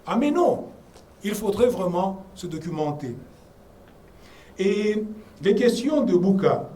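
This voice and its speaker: A man's voice: high voice, dark tone. A man arguing his case at a conference debate, emphatic and lecturing, often repeating words as he presses a point. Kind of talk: argued public talk on the constitution. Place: conference room. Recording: distant mic with faint room noise.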